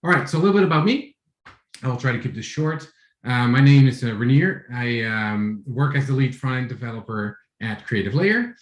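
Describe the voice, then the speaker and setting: A man in his forties from the Netherlands with a Dutch accent, giving a relaxed tech talk over a video call, with many fillers and restarts. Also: mid-range voice, moderate pace, reverberant. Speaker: conference speaker. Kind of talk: online tech talk with screen share.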